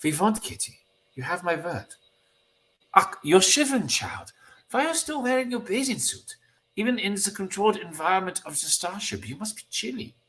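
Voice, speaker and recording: A man's voice: high-pitched, sing-song, moderate pace, pushed and bright, pitched above the performer's own voice, full character voice, rough recording. A man voicing a kindly, protective comic-book hero who talks in courtly, theatrical phrases. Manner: courtly, fussing concern in formal phrases.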